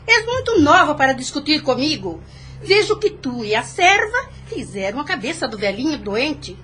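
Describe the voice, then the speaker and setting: A woman's voice: high voice, big pitch swings, moderate pace, a bit bright. A Brazilian woman playing a haughty, indignant aunt in a formal audio drama, speaking with scorn. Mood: offended, haughty. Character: indignant, haughty aunt contesting her father's will.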